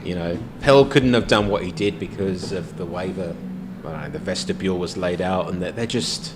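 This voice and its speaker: A man's voice: low-pitched, fairly quick, background noise. An Australian man in a casual discussion, rambling and hesitant, often restarting his sentences. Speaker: podcast co-host. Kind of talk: casual pub-style discussion.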